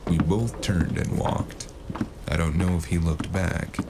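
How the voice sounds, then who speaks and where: Noir audio drama lead: world-weary male detective played by a man, very low and gravelly, dry wisecracks over a noisy ambient bed. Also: unhurried. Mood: brooding narration. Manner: dry, sardonic, laconic.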